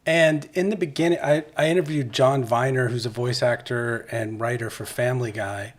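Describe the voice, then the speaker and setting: Man hosting a podcast, mid-pitched voice. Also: steady tone, normal speed. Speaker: podcast host, interviewer. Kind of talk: casual interview questioning.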